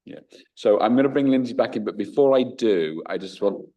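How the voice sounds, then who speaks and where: A man chairing a council committee meeting, speaking haltingly with many restarts. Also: medium pitch, expressive, warm tone, far from the mic. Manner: halting, full of restarts and fillers.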